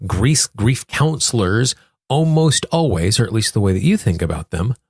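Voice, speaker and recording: A man's voice: on the low side, husky, no room sound. An American man hosting a solo podcast, explaining things and speaking directly to his listeners. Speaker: podcast host.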